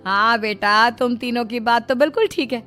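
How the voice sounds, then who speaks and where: A woman's voice: fairly high pitch, moderate pace, fairly bright tone, lightly acted. A woman voicing a worried, caring but scheming middle-aged mother-in-law in a cartoon family drama. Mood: motherly, instructive. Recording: music bed under the voice.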